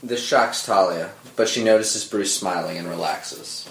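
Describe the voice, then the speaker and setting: A man's voice: low voice, measured pace, vocal fry, fairly bright tone, a little hiss or hum. A man narrating scripted screenplay directions at a table read. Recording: roomy echo under dramatic score music.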